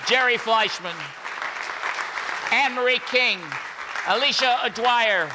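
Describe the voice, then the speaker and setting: A man's voice: very high voice, slow pace. An older man acting as master of ceremonies, making informal introductions to a live audience. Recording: live hall recording, crowd and noise. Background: audience crowd noise.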